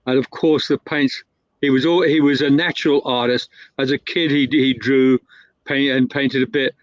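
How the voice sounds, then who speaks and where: A man's voice: mid-range voice, steady tone, moderate pace, dry recording. A man telling a long anecdote in a casual, rambling way, full of fillers and restarts. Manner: rambling, with many fillers and self-corrections. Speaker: guest storyteller. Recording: dull, lo-fi, dry sound.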